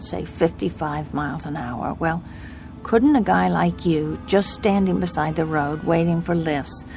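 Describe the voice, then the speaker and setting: A woman's voice: lowish voice, creaky, a little mellow. An actress playing a haunted, desperate young woman driver in a radio drama, earnest and anxious in her delivery. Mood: probing, uneasy. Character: desperate, haunted young woman driving cross-country alone.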